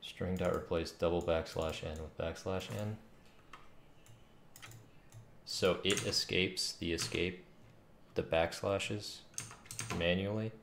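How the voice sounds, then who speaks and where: A man in his thirties with a low, very creaky voice mutters to himself in slow fragments as he works through code. The room is echoey, with keyboard clicks behind him. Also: fairly even intonation, a bit bright. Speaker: game developer streaming coding. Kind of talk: thinking aloud while coding. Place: small room at home. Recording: roomy, noisy, keyboard clicks. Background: keyboard typing and mouse clicks.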